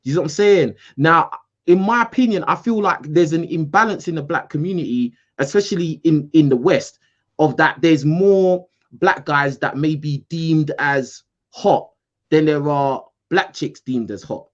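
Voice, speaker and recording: A man from the UK, hosting a call-in show in a casual, animated debate, repeating himself for emphasis. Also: fairly high pitch, unhurried, lo-fi sound. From United Kingdom. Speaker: host.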